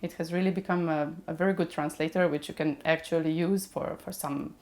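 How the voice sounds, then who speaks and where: A woman, a mechatronics engineer whose first language is Serbian, explaining machine learning in a podcast interview and pausing often with fillers as she works through her points. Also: low-pitched, steady tone, a little mellow. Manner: explains patiently with many hesitations. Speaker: guest.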